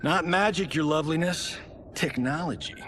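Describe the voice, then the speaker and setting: An actor playing a boastful, flirty show-off in a video game cutscene. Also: male voice, on the high side, moderate pace, a bit bright, slight background noise, close to an everyday voice. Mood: smug. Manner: smug, flirty, joking.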